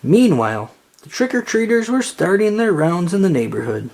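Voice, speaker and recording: A storybook reader narrating aloud for children in an expressive read-aloud style. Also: mid-range voice, normal speed, slight background noise.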